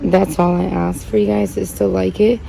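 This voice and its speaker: A woman's voice: fairly low pitch, moderate pace, gravelly, warm tone, slight background noise, rough recording. A woman who was born in Puerto Rico and grew up in Connecticut, hosting a plant channel in a rambling, chatty way with many fillers as she repots cacti. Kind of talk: casual chat while repotting cacti.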